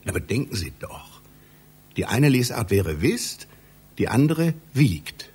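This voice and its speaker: An actor in a radio play playing an obsessive literary scholar, pedantic, insistent and excitable, with a rough, husky voice. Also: male voice, medium pitch, slow, exaggerated voice. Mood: insistent, intrigued. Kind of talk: German radio play acting.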